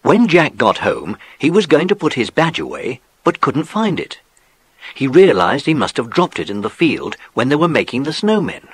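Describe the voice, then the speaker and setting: A man's voice: medium pitch, huge pitch swings, normal speed, slightly rough, dry recording. A man narrating a children's story from a script, as in an audiobook drama.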